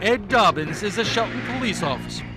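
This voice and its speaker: A man's voice: high-pitched, sing-song, brisk. A man narrating a scripted investigative television news report, with music behind him.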